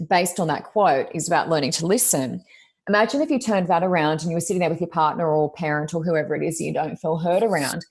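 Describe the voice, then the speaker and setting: A woman, co-hosting a casual talk podcast, speaking loudly and expressively as she reflects on her own experience. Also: lowish voice. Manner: loud, expressive, self-reflective. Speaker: podcast co-host, coach. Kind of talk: casual chat.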